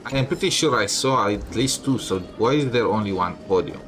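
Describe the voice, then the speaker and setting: A man's voice: fairly low pitch, expressive, fairly quick, fairly bright tone. A man giving casual, offhand gameplay commentary, half talking to himself. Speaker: game streamer. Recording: game music behind voice. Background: game music.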